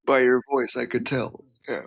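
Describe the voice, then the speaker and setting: A man guesting on a casual chat, a freelance voice actor with an everyday mid-pitched voice. He talks haltingly, restarting phrases and leaning on "you know". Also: flat delivery, gravelly, fairly bright tone.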